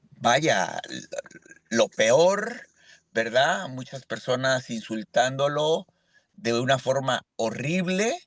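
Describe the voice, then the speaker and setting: A man's voice: mid-range voice, dry recording. Mexican guy preaching in church, earnest, keeps asking the crowd, dry sound. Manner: earnest, with frequent rhetorical "¿verdad?". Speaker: lay preacher. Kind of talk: church sermon.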